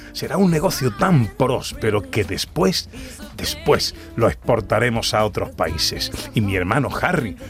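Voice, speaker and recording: An actor from playing an earnest, idealistic young bookseller in a radio play, eager and sometimes halting. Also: male voice, medium pitch, fairly bright tone. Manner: eager, halting with repeated phrases. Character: earnest, idealistic young small-town bookseller, man in his twenties. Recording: music under the voice.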